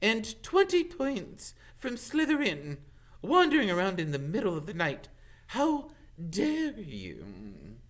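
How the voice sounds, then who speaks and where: A man voicing a stern, indignant woman professor who scolds with drawn-out emphasis in a storybook reading. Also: sits high, huge pitch swings, a bit bright, voice pushed up, exaggerated voice. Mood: outraged.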